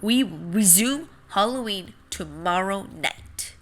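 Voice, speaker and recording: A woman's voice: mid-range voice, animated, slow, fairly bright tone, slight background noise. A woman voicing a grumpy, anxious male seed in a theatrical, announcing way for a children's storybook. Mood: loud, alarmed. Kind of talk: children's picture book read-aloud.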